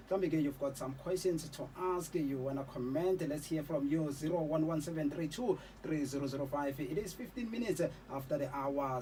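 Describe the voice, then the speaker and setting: A man's voice: fairly high pitch, mellow, distant mic, a little hiss or hum. A South African man in his forties hosting a radio interview, giving encouraging prompts and mixing languages. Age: 40s. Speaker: radio host. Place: radio studio.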